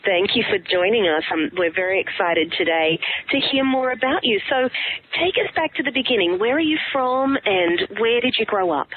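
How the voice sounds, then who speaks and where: A woman's voice: medium pitch, expressive, normal speed. A woman hosting a podcast interview, upbeat and encouraging with her guest. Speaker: podcast host. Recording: tinny phone-line audio.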